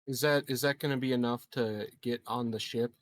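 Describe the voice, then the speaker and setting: A man's voice: medium pitch, fairly even intonation, fairly quick, dry recording, studio quality. A man chatting casually as a player in a tabletop roleplaying game, with a wry, informal, tentative manner.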